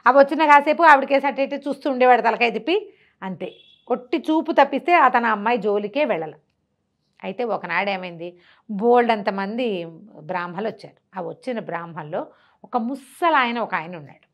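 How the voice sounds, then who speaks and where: A woman's voice: a little high, expressive, fairly quick. A woman telling a traditional tale aloud in a conversational way, posing rhetorical questions and acting out the dialogue as she goes. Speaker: storyteller. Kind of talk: animated oral storytelling of a traditional tale.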